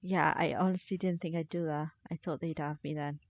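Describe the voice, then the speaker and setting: A woman's voice: low voice, steady tone, a little fast, vocal fry. A woman streaming a video game with casual, rambling commentary, half talking to herself. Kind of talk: casual live gameplay commentary. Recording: dry close sound, slightly dull band.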